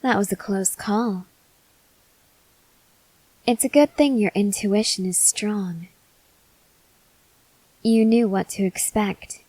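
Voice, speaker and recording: Female vampire lover for ASMR roleplay, played by a woman: possessive, softly threatening, unhurried delivery with whispered touches, dry close-mic sound. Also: mid-range voice, dry recording, low-quality recording. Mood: coolly possessive. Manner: slow, measured, intimate, with breathy whispered moments. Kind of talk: ASMR roleplay acting, addressed to the listener.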